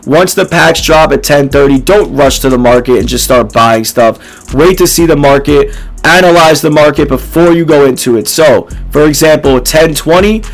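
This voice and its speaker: A young man in his twenties with a hoarse, nearly gone voice, casually commenting on a game to his viewers in a small dark room. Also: fairly high pitch, poor audio. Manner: laid-back, filler-heavy, addresses viewers as boys. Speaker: gaming YouTuber.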